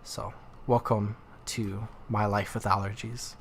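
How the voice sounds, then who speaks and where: A man's voice: lowish voice, little pitch movement, unhurried, slight background noise. A man with a nasal voice from allergies, casually rambling as he comments on a video game he is playing. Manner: rambling, lots of "like" fillers. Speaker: gaming YouTuber. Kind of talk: casual let's play commentary.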